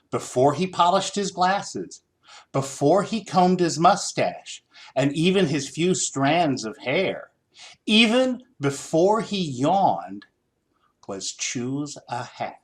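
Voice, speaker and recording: A man reading a children's story aloud in an expressive, theatrical, deliberate style. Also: fairly high pitch. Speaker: storybook reader.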